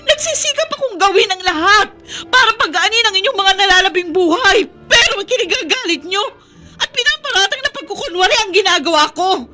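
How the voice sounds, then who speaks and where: Weary, high-voiced self-sacrificing Filipino daughter. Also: female voice, animated, fairly quick, bright, forceful tone, faint music behind, full character voice. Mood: anguished. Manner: pleading, emotional. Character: weary, self-sacrificing eldest daughter caring for her father. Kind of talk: Filipino radio drama acting.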